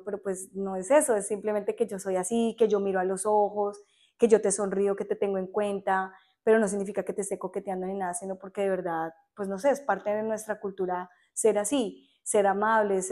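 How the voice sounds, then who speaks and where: A young adult woman with a Colombian accent, a teacher chatting as a podcast guest, animated and quick to laugh. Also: medium pitch. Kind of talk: casual podcast interview.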